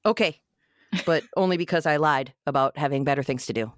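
A woman's voice: deep voice, fairly quick, no echo, clean studio sound, voice pushed down, natural voice. A woman reading a sardonic, awkward young man who feels left out, in a comedy-horror table read. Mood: hurt, defensive.

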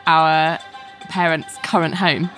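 A woman co-hosting a podcast in casual chat, sleep deprived and quick with short quips. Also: deep voice, flat delivery, loud music behind the voice. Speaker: podcast co-host.

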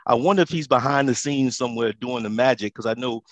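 A man's voice: medium pitch, quick pace, no echo. Enthusiastic rambling male host, dry sound. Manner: enthusiastic, rambling, lots of fillers and repeated words. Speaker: interview host. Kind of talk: casual interview chat.

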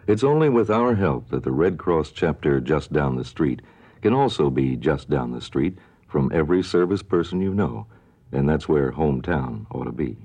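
A man's voice: a bass voice, lively intonation, normal speed, dark tone, husky. A man reading a scripted public service appeal for radio as an announcer.

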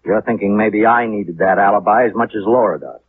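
An actor playing a slick, cynical American city attorney in a 1950s radio drama, speaking in a wry, glib, knowing way. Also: male voice, fairly low pitch, fairly quick, close to an everyday voice. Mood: wry, insistent. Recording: tinny, noisy 1950s radio recording.

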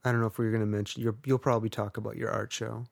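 Wry low-voiced man chatting. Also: level tone, rapid-fire, gravelly, no room sound, studio quality. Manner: wry, rambling and self-deprecating. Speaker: podcast co-host. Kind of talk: casual chat.

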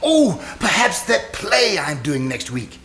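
A man's voice: sits high, big pitch swings, slow pace, fairly bright tone, heavily acted. A man playing a vain, effusive theatre star in a live 1940s-style radio suspense play, with a hammy, self-important delivery. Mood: gushing.

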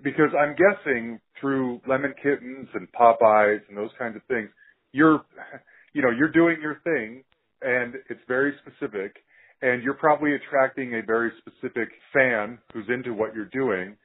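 Casual male podcast host, band-limited phone-like audio, husky tone, loose rambling questions. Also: mid-range voice, normal speed. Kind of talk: casual interview chat.

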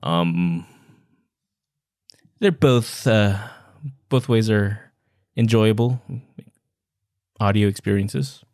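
Low-pitched man in casual podcast chat. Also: very slow, pauses often, darkish tone, dry recording, studio quality. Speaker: podcast co-host. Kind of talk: casual book-review chat.

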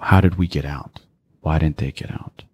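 An American man around forty, reflecting on his life as an interview guest in a measured, deliberate way. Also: a bass voice, background noise. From United States, raised in small-town Pennsylvania. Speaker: podcast guest, speaker. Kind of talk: reflective personal storytelling in an interview.

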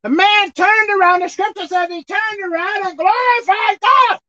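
A woman preacher delivering a sermon with animated, call-and-response delivery, acting out bits of dialogue. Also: very high-pitched, normal speed. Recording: dull lo-fi dry sound.

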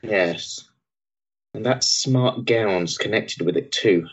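An actor playing a dry, jovial Victorian doctor in a period stage drama, brisk and teasing in manner. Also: male voice, on the low side, slightly rough, muffled recording. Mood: brisk, confiding.

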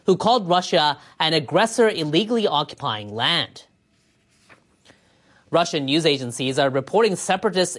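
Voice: medium pitch, a bit bright. A news reader with a mid-range voice delivers a scripted bulletin. The delivery is formal and written-out, set for international radio.

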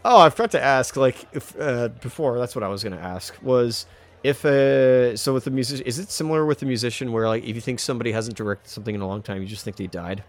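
A man's voice: mid-range voice, fast. A man co-hosting a casual podcast chat, rambling and slangy with frequent exclamations. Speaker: podcast co-host.

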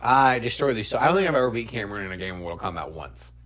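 An American man in his thirties hosting a casual comedy podcast, swearing freely and telling stories in an animated, self-deprecating way. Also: on the low side, very fast. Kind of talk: casual, profane chat about movies and games.

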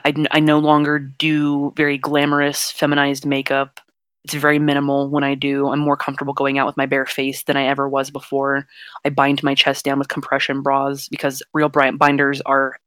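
A young non-binary podcast host with a fairly deep voice, talking frankly and at length in a casual chat. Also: completely flat delivery, normal speed, a bit bright, smooth, no room sound. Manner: earnest, frank, explains at length.

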